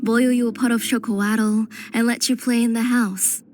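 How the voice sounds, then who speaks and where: Woman playing a gentle, higher-pitched game mother. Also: flat delivery, normal speed, faint music behind, lightly acted. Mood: nostalgic, fond. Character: gentle, hospitable middle-aged mother, fond and weary. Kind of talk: video game character acting.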